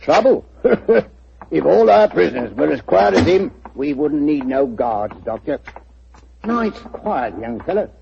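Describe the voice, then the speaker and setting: A gruff blustering police inspector. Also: male voice, fairly high pitch, big pitch swings, measured pace, a little hiss or hum, full character voice. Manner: gruff, blustering, self-assured. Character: blustering police inspector, middle-aged man. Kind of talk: 1940s radio acting. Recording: muffled old radio recording.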